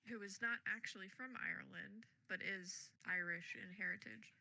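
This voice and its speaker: A woman's voice: mid-range voice, steady tone, moderate pace, vocal fry, bright, forceful tone, no echo, low-quality recording. A woman chatting casually and teasing her friend while playing a game. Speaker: co-player. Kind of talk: casual gaming chat.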